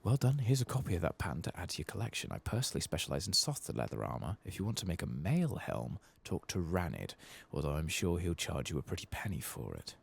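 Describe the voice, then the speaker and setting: A man softly reads the part of a master armorer in a fantasy game, his voice very low and gravelly. He sounds measured and businesslike, giving out instructions. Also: big pitch swings, heavily acted. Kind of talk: soft-spoken reading of game quest dialogue.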